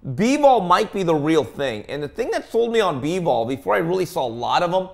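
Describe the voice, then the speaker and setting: Higher-voiced man hosting boxing talk. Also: very animated, a little fast, gravelly, darkish tone. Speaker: host of a boxing talk show. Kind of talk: casual sports panel talk.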